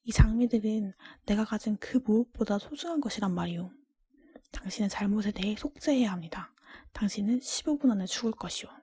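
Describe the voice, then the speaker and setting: A woman voicing a stern, offended male beast from a storybook in a breathy whisper, formal and accusing. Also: mid-range voice, lively intonation, brisk, dry recording. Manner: formal, accusing, menacing words in hushed delivery.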